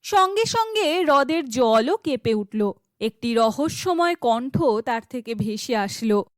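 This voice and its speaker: Casting: female storybook narrator, high pitch, slow scripted fairytale delivery. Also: animated, dry recording, clean studio sound.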